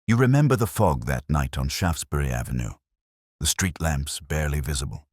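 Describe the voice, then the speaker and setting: A man voicing a grave, incisive gentleman detective in an audiobook, deliberate and commanding. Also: rumbling low, expressive, no echo, clean studio sound. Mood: grave, cryptic. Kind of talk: audiobook character acting.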